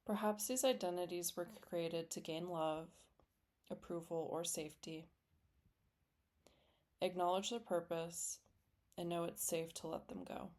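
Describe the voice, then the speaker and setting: A woman leading a guided meditation in a gentle, soothing, deliberate way, with long pauses between phrases. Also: on the low side, steady tone. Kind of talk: guided meditation, scripted and slow.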